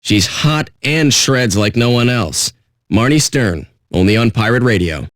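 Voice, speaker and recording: An actor playing a surly, sarcastic radio DJ in a video game, with deadpan, contemptuous patter. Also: male voice, medium pitch, a little slow, no echo, studio quality. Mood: deadpan, smug.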